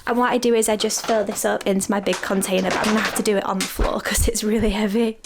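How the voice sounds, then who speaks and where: A woman's voice: medium pitch, steady tone, fast, background noise. A young woman vlogging casually about her day with her cats, a little sniffly from a cold and laughing often. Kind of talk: casual vlog narration.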